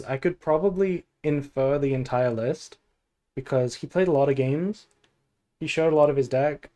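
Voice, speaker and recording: Male streamer, mid pitch, dark soft tone, drowsy and low-key, casual commentary. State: sleepy. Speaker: game streamer. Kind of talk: casual gameplay stream chat and deck analysis.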